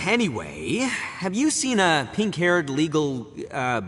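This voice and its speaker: An actor voicing a boastful, rowdy male oni gang boss in a video game, brash and quick to laugh. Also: a little high, wildly swooping pitch, husky, heavily acted. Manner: brash, loud, laughs a lot. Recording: game audio with long reverb.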